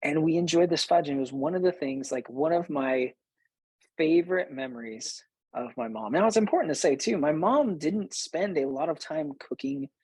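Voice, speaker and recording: Male host, late thirties, slightly husky and close-recorded, conversational storyteller with emphatic repeats. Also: a little high, expressive, normal speed, a little mellow, dry recording, rough recording. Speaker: host, business coach. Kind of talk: personal storytelling to listeners.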